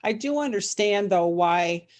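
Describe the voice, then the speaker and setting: A woman's voice: mid-range voice, lively intonation, unhurried. A woman interview guest, an author and psychologist, talking casually and circling back mid-sentence.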